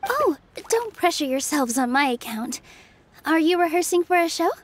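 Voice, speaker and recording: A woman playing a gentle, encouraging young forest fairy in a video game, polite and sincere. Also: very high-pitched, sing-song, moderate pace. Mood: friendly, curious.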